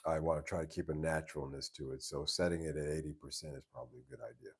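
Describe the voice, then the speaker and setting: A man with a very low voice hosting a casual live stream, answering viewer questions in an easygoing, rambling way with many restarts. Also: steady tone, quick pace, breathy edge. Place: live stream from home base abroad.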